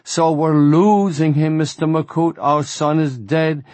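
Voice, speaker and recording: A man's voice: on the high side, steady tone, slow pace, darkish tone, no room sound, voice pushed up. A man voicing a distraught older rabbi with a German accent, wailing in exasperation over his son. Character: distraught older male rabbi, anguished father.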